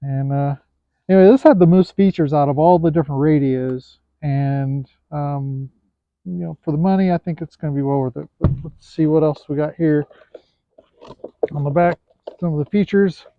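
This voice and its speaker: A man giving a casual, unscripted product review in a hesitant, pausing way as he looks things over. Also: a little high, no echo. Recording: thin, phone-like, band-limited sound.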